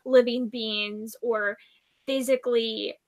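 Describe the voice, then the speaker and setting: Woman, higher-pitched voice, casual book chat, informal panelist. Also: steady tone, takes it slowly.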